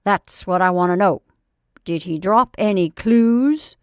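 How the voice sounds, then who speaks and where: A woman voicing a thin, impatient man posing as Santa Claus, speaking crisply and sneeringly. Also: mid-range voice, a little slow, no room sound, studio quality, natural voice. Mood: insistent.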